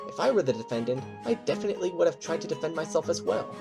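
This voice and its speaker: A woman's voice: low voice, sing-song, fast talker, creaky, music in the background, full character voice. A woman playing an eager, scrappy spirit who is ready for a fight, in an amateur audio drama. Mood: eager, bold.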